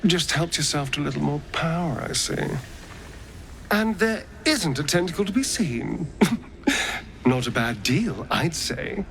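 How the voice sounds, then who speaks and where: An actor playing a sly, theatrical, self-indulgent rogue in a fantasy video game, with an arch, teasing, flamboyant delivery. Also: male voice, on the high side, gravelly, a bit bright, a little hiss or hum, full character voice. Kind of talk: video game character dialogue.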